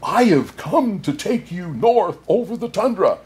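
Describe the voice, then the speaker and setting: Great gentle male bear for a storybook man performing: calm, deliberate, slow delivery with lively melody. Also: sits high, pitched above the performer's own voice. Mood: soothing, purposeful. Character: large, soothing male bear, kindly storybook guide.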